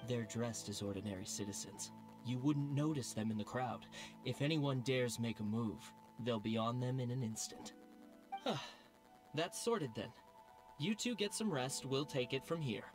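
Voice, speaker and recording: A man as a level-headed guard officer in a game, speaking in a measured, authoritative tone at a middling pitch, with game music underneath. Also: lightly acted. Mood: reassuring. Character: calm male city guard officer, reassuring and steady. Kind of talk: video game cutscene voice acting. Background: game soundtrack music.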